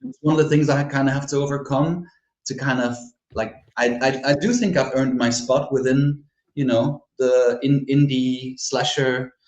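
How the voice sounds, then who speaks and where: A man's voice: mid-range voice, normal speed, a little mellow, roomy sound, muffled recording. A man from Flanders with a Flemish accent, a Dutch native speaker talking in English as a guest author, candid and reflective, often laughing. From Belgium (Flanders). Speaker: horror author, podcast guest. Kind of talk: casual interview chat.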